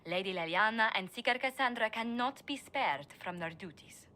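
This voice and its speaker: An actress playing a composed, shrewd female advisor who speaks in a measured, formal way in a game. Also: on the high side, animated. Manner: measured, formal, quietly persuasive. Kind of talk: video game voice acting.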